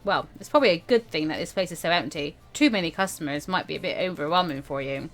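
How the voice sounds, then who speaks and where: A woman voicing a calm, stern older male mentor in a visual novel, measured and gently admonishing. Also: mid-range voice, faint music behind, pitched below the performer's own voice. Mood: dry, admonishing.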